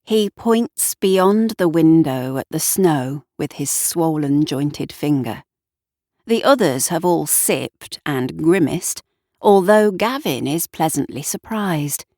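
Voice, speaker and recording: A woman reads scripted fiction at a very slow pace. Her voice sits a little low and is recorded dry, and she lingers over her phrases in a hushed, lyrical storytelling manner. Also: animated, dry recording. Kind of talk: scripted dramatic narration.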